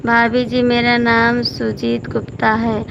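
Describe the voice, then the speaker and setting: A hoarse woman with a deeply gravelly, fry-laden voice chats slowly and flatly with speech. She reads out comments and keeps nudging viewers by name. The sound is dull and lo-fi, with noticeable street noise and passing vehicles behind her. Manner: chatty, repeatedly coaxing viewers by name to comment. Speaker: folk singer hosting a live stream. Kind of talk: casual live-stream chat reading out viewer comments.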